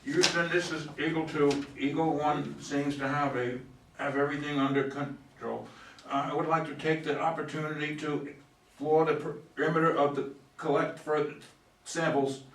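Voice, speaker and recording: A man's voice: fairly high pitch, fairly even intonation, normal speed, close to an everyday voice. A man playing an easygoing, teasing astronaut in an amateur play reading, with a casual, slightly stumbling delivery. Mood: relaxed, dutiful. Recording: echoey room, distant mic.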